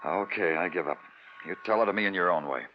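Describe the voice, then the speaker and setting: Hard-boiled American detective, played by a man, low and dry, vintage radio noir, dull recording. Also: fast, a little hiss or hum, natural voice. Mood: weary, dry. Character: world-weary, terse male police detective. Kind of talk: 1950s radio crime drama acting, noir narration.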